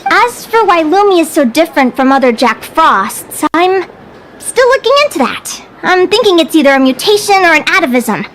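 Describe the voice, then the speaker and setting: A woman's voice: very high voice, very animated, moderate pace, a bit bright, slight background noise, full character voice, rough recording. A woman voicing an upbeat, earnest young fae researcher in a video game, chatting and explaining like a storyteller. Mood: thoughtful, devoted. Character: bright, upbeat young female fae researcher and animal lover.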